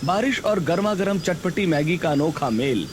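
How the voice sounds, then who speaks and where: A man's voice: a little high, animated, normal speed, background noise. A man reading scripted narration for a Hindi cartoon story.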